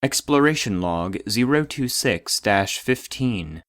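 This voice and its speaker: An actor playing a formal database voice, reading clinical case logs in a detached, precise manner. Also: male voice, on the low side, animated, takes it slowly, a bit bright, no room sound, studio quality. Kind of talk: scripted narration of clinical reports.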